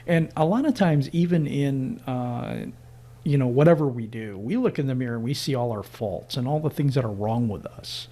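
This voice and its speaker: Male host, husky, earnest and eager, casual chat. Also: medium pitch, normal speed, warm tone. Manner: earnest, enthusiastic, frequent fillers. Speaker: podcast host.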